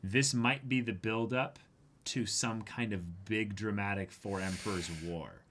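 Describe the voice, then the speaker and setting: Bright-voiced man co-hosting a fan livestream. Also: on the low side, fairly even intonation, unhurried, slight background noise. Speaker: livestream co-host. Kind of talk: casual fan discussion on a livestream.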